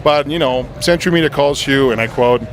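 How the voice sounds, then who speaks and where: A man's voice: mid-range voice, a little fast, background noise. A man interviewing a band, asking long, winding questions.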